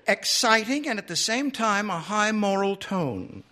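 A man's voice: high voice, lively intonation, a little slow, sharp tone, pitched above the performer's own voice, exaggerated voice. A man voicing a prim, old-fashioned, teetotal woman librarian in a story, prissy and moralizing. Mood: prim, helpful.

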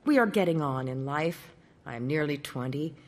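Woman reading as a young prince, gentle, earnest, tender, storybook. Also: sits low, lively intonation, moderate pace, pitched below the performer's own voice.

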